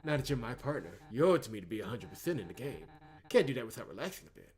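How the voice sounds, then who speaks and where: A man's voice: medium pitch, sing-song, rapid-fire, a little mellow, natural voice. Visual novel role: cocky, blunt young fighter woman, read by a man, mocking and teasing. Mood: blunt, coaxing. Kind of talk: visual novel character reading.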